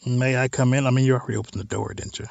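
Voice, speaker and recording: A man's voice: mid-range voice, steady tone, racing, vocal fry, a little mellow, no echo. A man hosting a let's play of a visual novel, reading text aloud and adding informal, wry asides. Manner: informal, wry side comments on what is read.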